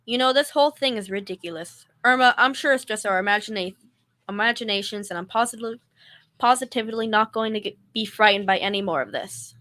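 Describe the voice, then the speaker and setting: A woman's voice: mid-range voice, fast, sharp tone, natural voice. A young woman playing a sensible, exasperated roommate with nerves underneath, in old-time radio comedy style. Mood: nervous, earnest.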